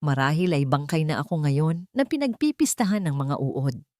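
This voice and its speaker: A woman's voice: low voice, sing-song, moderate pace, dry recording, clean studio sound. A woman voicing a resolute, bitter elderly mother in a dramatic audio story. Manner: quiet but resolute, bitter. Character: firm, wounded elderly mother and landowner.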